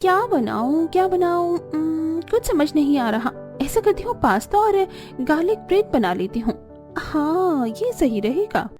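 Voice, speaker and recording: A woman playing an excitable, wide-eyed young modern bride in a cartoon story, exclaiming and gushing. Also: very high-pitched, measured pace, faint music behind, full character voice. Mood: pondering. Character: excitable young modern new bride, easily impressed and shocked. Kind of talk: cartoon story dialogue acting.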